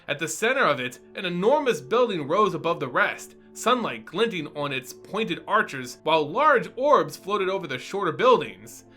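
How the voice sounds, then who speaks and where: A man's voice: high-pitched, sing-song, moderate pace, few pauses, sharp tone, soft background music. A man narrating a fantasy story as a dramatic reading.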